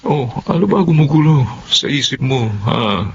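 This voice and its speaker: A man's voice: fairly high pitch, animated, moderate pace, background noise. A middle-aged man playing a stubborn, devoted farmer father in an earnest radio drama style. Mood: concerned. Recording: muffled audio over music.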